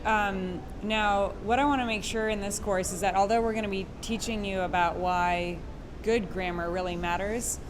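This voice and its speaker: A woman's voice: medium pitch, steady tone, normal speed. Chatty woman from Quebec, noisy recording. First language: French. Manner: chatty, informal, with frequent fillers. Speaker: course host. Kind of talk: conversational instructional chat.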